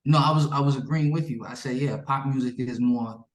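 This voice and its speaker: A man's voice: medium pitch, fairly quick, reverberant. A man whose native language is Yoruba, a musician giving a casual interview in informal, slangy speech, often repeating himself.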